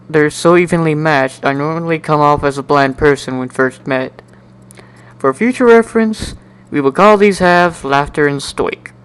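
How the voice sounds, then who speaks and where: A man giving an amateur dramatic reading of a first-person story in an animated, wry, sardonic way. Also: a little high, clean tone. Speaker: amateur narrator.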